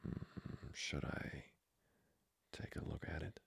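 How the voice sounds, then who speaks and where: A man playing a courtly, flirty vampire lord hungry for blood in an intimate ASMR roleplay, breathy and formally polite. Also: natural voice. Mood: courteous, flirtatious. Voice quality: breathy, whispery, gravelly. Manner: formal, slow, seductively polite.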